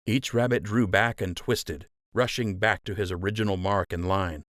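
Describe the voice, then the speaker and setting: A man with a fairly low voice narrates a children's adventure novel. He reads scripted storytelling prose in a close, dry recording. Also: fairly even intonation, normal speed, a bit bright, no room sound. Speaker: audiobook narrator.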